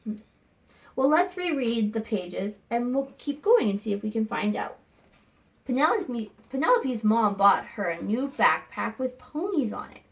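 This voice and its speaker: A woman teacher reading a picture book aloud to young students, expressive and pausing to think aloud with questions for them. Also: on the high side, rough recording. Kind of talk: read-aloud lesson with questions to children.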